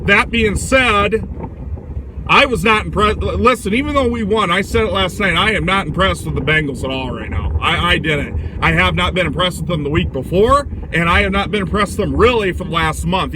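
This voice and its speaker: A man with a loud voice giving a casual, rambling sports rant while driving, blunt and opinionated, swearing now and then. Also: sits high, moderate pace. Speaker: vlogger giving football commentary. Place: inside a moving car. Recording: clear vehicle and road noise.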